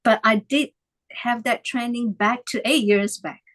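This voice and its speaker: Hesitant higher-voiced woman guest. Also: fairly even intonation, measured pace, fairly bright tone. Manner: hesitant, searching for words, broken phrasing. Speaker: guest, tea leaf reader. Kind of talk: conversational interview.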